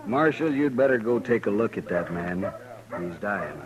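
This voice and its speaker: A man as a low-voiced, hesitant townsman. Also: animated, normal speed, muffled recording, close to an everyday voice. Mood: uneasy. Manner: hesitant, deferential. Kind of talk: 1950s radio western acting. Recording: old radio recording, crowd behind.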